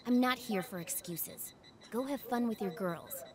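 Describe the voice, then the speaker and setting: An actress playing a sharp-tongued young schoolgirl, mature beyond her years, with a curt, formal manner in a game dub. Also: fairly high pitch, a little slow, crowd noise, close to an everyday voice. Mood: icy, angry. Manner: curt, cutting, formal when apologising. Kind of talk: English video game dub acting. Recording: loud game music under the dialogue.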